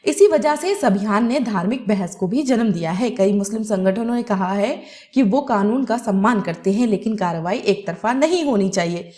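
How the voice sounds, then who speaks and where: A woman's voice: medium pitch, animated, normal speed, dark tone. A woman narrating a scripted news report as a news presenter.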